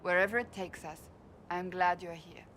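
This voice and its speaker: Game casting: actress for devout female warrior, stern and sincere, formal measured delivery, slightly low register. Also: fairly even intonation, fairly bright tone, slight background noise, lightly acted. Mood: admiring, solemn. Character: stern, devout woman warrior, earnest and doubting. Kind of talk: dramatic video game dialogue.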